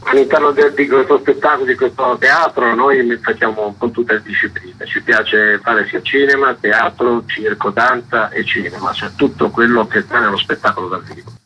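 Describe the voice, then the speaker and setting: A man speaks as an interviewee, explaining things as an artistic director would. His voice is bright and forceful with a husky edge, and the recording is slightly dull, like lo-fi radio. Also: mid-range voice, steady tone, moderate pace, hardly any pauses. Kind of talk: interview answers, explaining programme.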